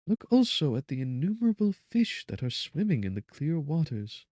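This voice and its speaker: A tender young bride from a gothic audiobook, acted out by a man, her voice lifted well up in pitch. She speaks softly and earnestly in old-fashioned phrases, gently reassuring, with a close, dry sound. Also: no room sound. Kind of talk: dramatic audiobook character voice.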